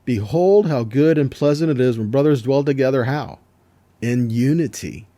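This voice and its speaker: A man's voice: medium pitch, animated, normal speed, warm tone, no room sound. A man giving an informal Bible teaching, conversational but emphatic, reading verses and checking in with rhetorical questions.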